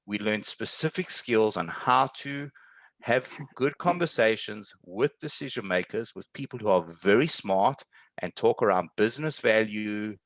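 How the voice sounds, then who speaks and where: A man's voice: on the low side, animated, a little slow, fairly bright tone, no room sound. A man speaking as a panelist in an online webinar, giving earnest, encouraging and emphatic career advice. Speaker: webinar panelist, tech sales professional.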